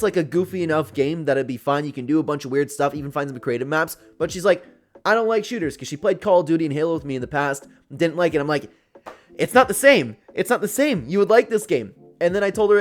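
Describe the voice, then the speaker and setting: Higher-pitched man, casual gaming commentary. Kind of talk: casual commentary reacting to read-out viewer posts.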